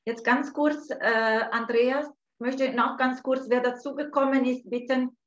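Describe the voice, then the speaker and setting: A woman from Italy with an Italian accent, hosting an online talk by video call from home, speaking slowly and hesitantly with many fillers. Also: sits high, steady tone. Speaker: host. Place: home room, indoors. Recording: dull lo-fi video call audio.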